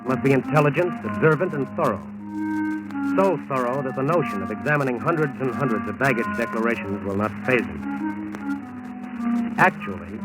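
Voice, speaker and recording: A man's voice: medium pitch, low-quality recording. An American man reading scripted 1940s radio narration in an emphatic, authoritative manner. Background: music.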